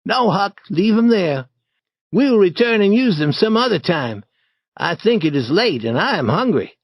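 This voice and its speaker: A man's voice: normal speed, breathy edge, dry recording, exaggerated voice. Bold eager boy, as a man puts him on. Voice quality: raised, boyish pitch. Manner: excited, lively storybook acting. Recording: dry, slightly dull recording.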